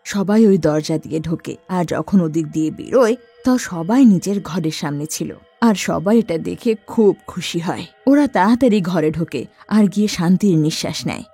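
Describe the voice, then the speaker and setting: A woman narrating a cartoon horror story with a lively, rising and falling pitch. Her tone is warm and slightly husky. Soft music plays faintly behind her. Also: normal speed. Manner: expressive storytelling.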